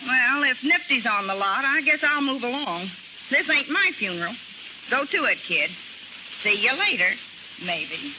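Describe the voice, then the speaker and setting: A woman's voice: high voice, very animated, measured pace. An actress playing a hard-boiled, sarcastic carnival woman with slangy, dismissive delivery in 1930s radio drama. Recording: dull, noisy 1930s radio recording.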